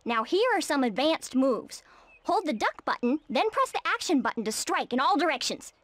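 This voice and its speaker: A woman's voice: high-pitched, sing-song, normal speed, fairly bright tone, no echo. A woman voicing a feisty, sporty squirrel in a cartoon video game, with a folksy, brash Texan drawl. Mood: competitive, confident. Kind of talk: animated video game voice acting.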